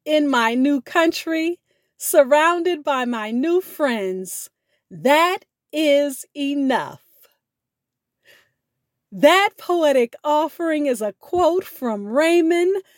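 Slow-spoken, high-voiced woman hosting over music. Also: no echo, clean studio sound. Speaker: podcast host. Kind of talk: scripted podcast outro narration. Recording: music bed behind the voice. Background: instrumental music.

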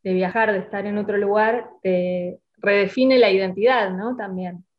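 A woman's voice: mid-range voice, fairly even intonation, normal speed. Woman radio host, dull sound. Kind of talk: radio interview.

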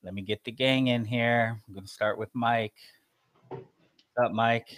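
A man's voice: medium pitch, steady tone, unhurried, a bit bright, dry recording, clean studio sound. A man hosting a casual weekly online show, welcoming his co-hosts and guest in an upbeat way. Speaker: host. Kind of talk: casual chat.

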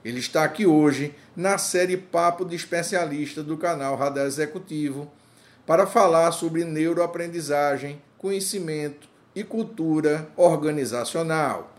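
A man hosting an interview, introducing his guest formally and asking questions in a measured, complimentary way. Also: on the high side. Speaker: interview host.

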